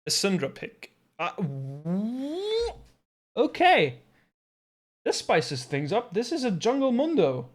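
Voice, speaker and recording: Guy casting a game from his home mic, kinda high voice, thinks out loud, pokes fun at himself. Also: huge pitch swings, slow, pauses often. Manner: thinks aloud, self-deprecating asides. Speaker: esports co-streaming caster. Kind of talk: casual live game commentary.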